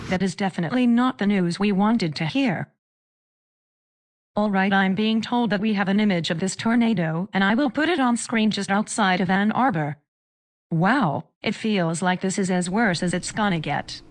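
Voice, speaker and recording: A woman's voice: mid-range voice, moderate pace, lightly acted. A woman playing a TV news anchor, presenting live disaster coverage in an earnest, concerned way.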